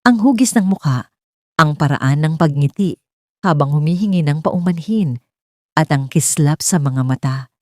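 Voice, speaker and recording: A woman's voice: deep voice, animated, moderate pace, darkish tone, no echo, studio quality. A woman reading a scripted romance story as its narrator.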